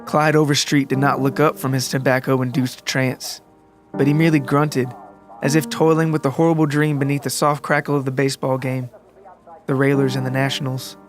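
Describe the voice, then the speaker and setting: A man narrating a scripted literary story for a podcast in a deliberate, dramatic, descriptive way. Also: medium pitch, flat delivery, over music. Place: close, dry recording with music underneath.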